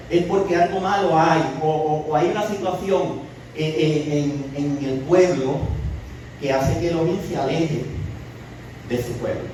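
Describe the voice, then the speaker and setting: A man's voice: high voice, darkish tone. A Puerto Rican man with a Puerto Rican accent teaching a weekly Torah portion to a congregation, often asking questions and adding "¿verdad?". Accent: Puerto Rican Spanish. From Puerto Rico. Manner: asks the audience questions and repeats words, often adding a tag ¿verdad?. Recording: very echoey distant room recording with noise.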